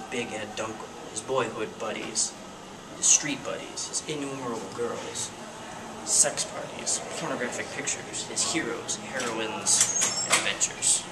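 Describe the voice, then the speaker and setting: A man's voice: mid-range voice, a little slow. Man reading aloud from far off. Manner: plain, unpolished reading with stumbles. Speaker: student reader. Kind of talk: amateur dramatic reading of a novel. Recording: distant mic with loud background noise.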